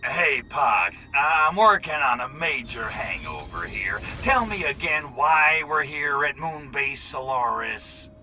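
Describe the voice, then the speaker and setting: A man's voice: mid-range voice, animated, takes it slowly, hardly any pauses, sharp tone, soft background music, full character voice. A man voicing a cocky, sarcastic little alien invader in a video game cutscene. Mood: sardonic. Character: brash, wisecracking little male alien invader.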